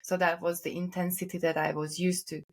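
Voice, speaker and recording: Slightly low-voiced woman, casual and reflective. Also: level tone, fairly quick. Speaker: podcast co-host.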